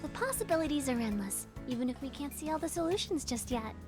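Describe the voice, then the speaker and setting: A high female voice plays a confident young engineer in a visual novel game. She is calm and a little aloof, explaining things matter-of-factly, with music underneath. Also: fast talker.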